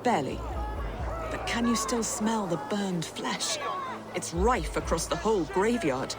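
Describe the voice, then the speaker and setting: Curt townsman, high male voice, video game character, loud music and crowd behind. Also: animated, unhurried. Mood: disgusted.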